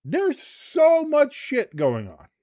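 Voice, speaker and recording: A man hosting a casual watch-along, joking, swearing and shouting at big plays. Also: very high voice, slow pace, no echo, clean studio sound. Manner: excitable, profane, jokey, shouts at big plays. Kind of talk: casual live match commentary.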